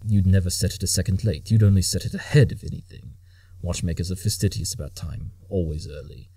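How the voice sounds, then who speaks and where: A man's voice: deep voice, brisk, mellow, slightly rough, dry recording. An actor playing a brilliant, imperious detective in an audio drama, condescending and impatient as he lays out deductions. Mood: smug, explaining. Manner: clipped deductive monologues, condescending.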